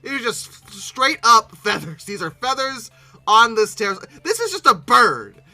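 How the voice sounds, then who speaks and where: A YouTube host giving casual, humorous reaction commentary in a halting, bemused way, often repeating words. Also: mid-range voice, big pitch swings, bright, forceful tone, soft background music.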